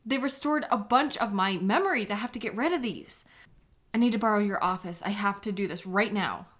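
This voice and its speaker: A woman's voice: medium pitch, animated, fairly quick, a bit bright, lightly acted. A woman playing an anxious, agitated woman sketch artist in an emotional audio drama. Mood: distressed, urgent.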